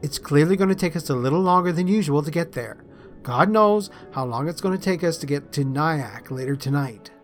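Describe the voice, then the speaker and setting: A man's voice: on the high side, expressive, a little fast, lightly acted. A man voicing a mischievous, teasing young woman, an ambitious student journalist, in a storybook reading over music. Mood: brisk, determined.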